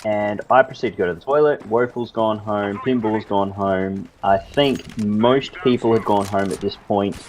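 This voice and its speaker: A man telling a loose, halting, sweary anecdote to friends in a casual voice chat. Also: lowish voice, steady tone. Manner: loose, halting, repeats himself. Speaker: storyteller in a group voice chat. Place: online voice chat. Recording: noisy voice-chat mic with clicks.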